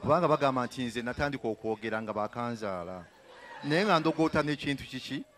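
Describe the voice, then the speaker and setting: A man's voice: mid-range voice, animated, fast talker, a bit bright, a little hiss or hum, close to an everyday voice. An actor on a theatre stage playing a husband who coaxes and soothes his angry wife with rambling explanations, into a handheld mic. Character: placating husband caught between wife and friend. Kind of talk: live stage comedy acting. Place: theatre stage with house set.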